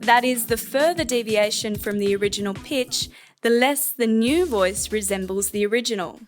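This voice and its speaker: A woman narrating a scripted software tutorial in a measured, explanatory manner. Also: on the high side, soft background music.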